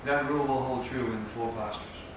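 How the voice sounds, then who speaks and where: A man giving patient, plain spoken instruction while he demonstrates, pausing between short phrases. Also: mid-range voice, steady tone. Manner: plain, patient explaining with pauses. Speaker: tai chi instructor. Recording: echoey, distant with background noise.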